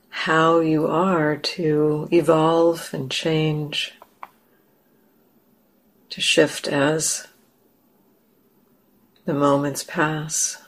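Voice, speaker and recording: A woman leading a guided meditation as a teacher, gentle and unhurried, leaving long pauses. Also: deep voice, low-quality recording.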